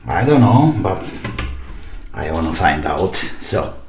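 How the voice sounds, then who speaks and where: A man's voice: low-pitched, sing-song, takes it slowly. A middle-aged man giving casual running commentary while repairing, musing aloud in short bursts and asking himself questions. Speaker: hobby repairer presenting his own video. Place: small indoor home workshop at a desk. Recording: echoey room with loud noise, clicks.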